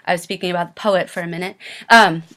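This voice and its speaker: A woman from Maine giving public comment at a council hearing over a video call, earnest and halting, with many pauses and restarts. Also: lowish voice, steady tone, fairly bright tone.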